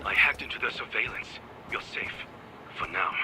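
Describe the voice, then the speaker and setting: A man playing a terse hushed hacker. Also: mid-range voice, lively intonation, moderate pace, noisy background, lightly acted. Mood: urgent. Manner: curt, clipped, often hushed. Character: terse male hacker ally guiding by radio. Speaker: game character. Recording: game audio with loud music.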